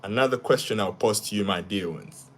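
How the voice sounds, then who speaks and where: Halting man hosting a live stream. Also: lowish voice. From Uganda. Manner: halting, repeats words and restarts often; asks "yeah?" after each point. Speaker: livestream host. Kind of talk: live stream talk reading comments.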